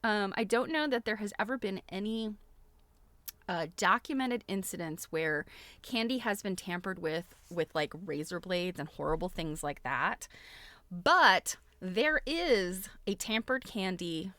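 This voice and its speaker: A woman's voice: medium pitch, expressive, a little slow, bright, forceful tone, dry recording. A middle-aged woman hosting a casual livestream, chatty and giggly, often breaking into exclamations. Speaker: livestream host.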